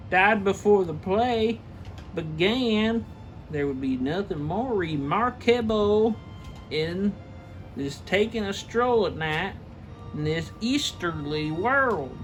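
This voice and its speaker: A man reading a classic story aloud theatrically and emphatically, stumbling over the text and dropping in casual asides. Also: sits high. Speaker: reader, show host.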